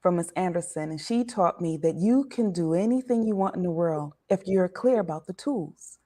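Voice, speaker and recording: A woman in her forties from Washington, D.C., telling her life story as an interview guest in a measured, reflective way, often easing down softly at the end of a thought. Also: fairly low pitch, lively intonation.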